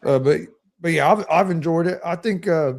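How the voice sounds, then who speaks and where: A man's voice: a little high, moderate pace, creaky. A man co-hosting a casual sports chat who rambles and often repeats himself. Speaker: co-host.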